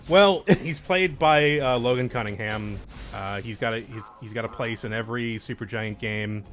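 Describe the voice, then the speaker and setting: A man commenting casually while playing a video game, relaxed and a little hesitant. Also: mid-range voice, normal speed. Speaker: let's play commentator. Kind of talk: casual chat over gameplay. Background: game music.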